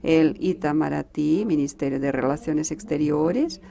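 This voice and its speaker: A Brazilian woman diplomat, a native Portuguese speaker, speaking with a Brazilian accent at a conference panel, measured and halting with restarts. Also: low-pitched, vocal fry, dark tone.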